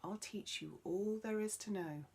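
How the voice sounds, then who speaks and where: A woman voicing the Sun as a kindly, wise teacher in a children's story, gently instructive. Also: medium pitch, measured pace, breathy edge. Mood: encouraging.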